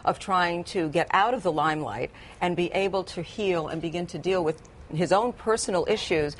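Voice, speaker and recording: Slightly low-voiced woman giving expert commentary. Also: normal speed, a little hiss or hum. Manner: explanatory, repeats phrases for emphasis. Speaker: psychologist, TV guest expert. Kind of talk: expert commentary in a news interview.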